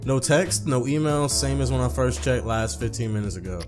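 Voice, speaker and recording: A man's voice: medium pitch, lively intonation, normal speed, a little mellow. Casual, slangy man over music. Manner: slangy, informal, repeats phrases when misreading. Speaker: gaming video host. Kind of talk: reading visual novel text aloud with casual commentary.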